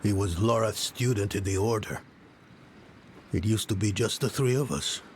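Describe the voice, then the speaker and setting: An actor voicing a weary, reluctant middle-aged scholar in a video game, subdued and brooding, with a gravelly voice. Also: male voice, fairly low pitch, normal speed, noisy background, close to an everyday voice. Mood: regretful. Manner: hesitant, subdued. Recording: music behind.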